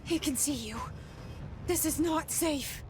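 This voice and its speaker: A woman's voice: high-pitched, slow, noisy background. An actress with an American accent playing a determined young woman trying to save her brother in a game cutscene, blunt, wry and restrained. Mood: urgent, upset. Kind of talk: video game cutscene acting, naturalistic.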